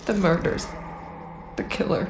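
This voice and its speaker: An actress playing a tormented agent in a video game who struggles for control, halting and strained. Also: female voice, background noise, lightly acted. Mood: haunted, grim. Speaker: actor in a video game cutscene. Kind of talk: dramatic game acting. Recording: echoey, under loud score.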